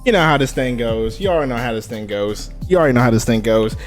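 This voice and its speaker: A man's voice: medium pitch, expressive, fast, soft background music. A young man hosting a casual gaming news live stream, hyped and chatty, greeting viewers in chat by name. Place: home streaming setup. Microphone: desk mic.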